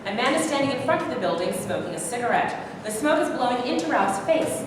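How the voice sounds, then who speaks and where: A woman's voice: on the high side, big pitch swings, quick pace. A woman narrating scripted stage directions at a staged play reading. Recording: distant echoey hall with background noise.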